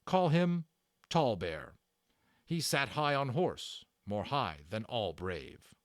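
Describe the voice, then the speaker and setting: Man voicing a stern, proud, loud-spoken warrior chief. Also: medium pitch, very animated, slow, dry recording, clean studio sound. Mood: solemn. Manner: clipped broken grammar, weighty and declarative. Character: proud, stern male warrior chief, bitter yet honorable. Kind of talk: dramatic storybook character reading.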